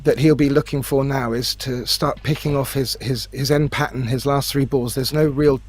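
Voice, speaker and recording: A man giving conversational live pool commentary in measured phrases, often repeating a word as he thinks. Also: mid-range voice, steady tone. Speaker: sports commentator. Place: remote commentary from his own location.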